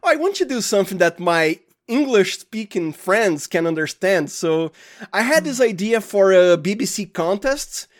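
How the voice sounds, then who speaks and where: A man's voice: high-pitched, sing-song, moderate pace. A man with a Brazilian accent, a native Portuguese speaker, chatting casually and enthusiastically as an interview guest. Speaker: guest, audio fiction producer.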